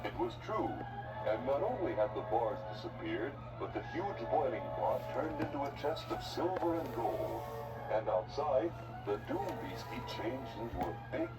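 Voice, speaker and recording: A man's voice: mid-range voice, sing-song, normal speed. A man narrating a scripted children's fairy tale as the storyteller. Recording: distant mic, loud music behind.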